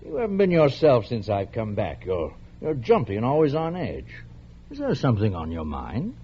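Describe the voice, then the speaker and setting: An actor playing a cold, condescending wealthy husband in mid-century radio drama, patronising and dismissive. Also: male voice, mid-range voice, wildly swooping pitch, normal speed, husky, heavily acted. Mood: guarded. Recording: dull, noisy old radio recording.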